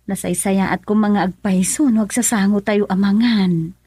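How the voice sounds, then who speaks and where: An actress playing a teasing woman in an Ilocano radio love drama, with a husky voice and an Ilocano accent. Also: mid-range voice, steady tone, moderate pace, warm tone, no room sound, clean studio sound, natural voice.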